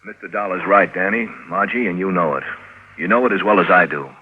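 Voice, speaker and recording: Deep, husky, brooding heir. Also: male voice, a little fast. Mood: resigned, frank. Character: sullen, frank male heir, resigned and brooding. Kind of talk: 1950s radio drama acting. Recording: narrow, muffled old radio broadcast.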